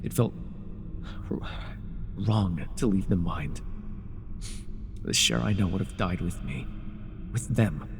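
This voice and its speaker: An actor playing a grieving, devoted fallen god in mortal form, earnest and reproachful, in a dramatic fantasy audio roleplay. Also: male voice, sits low, animated, slow, pauses often, heavily acted. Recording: reverberant hall effect, faint noise.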